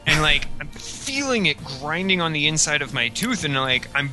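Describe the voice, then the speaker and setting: A man's voice: a little high, normal speed, over music. A man chatting on a podcast, telling stories animatedly with lots of fillers, his speech a little slurred after dental work. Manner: animated storyteller, heavy "like" filler. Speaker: podcast co-host. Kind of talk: casual podcast chat.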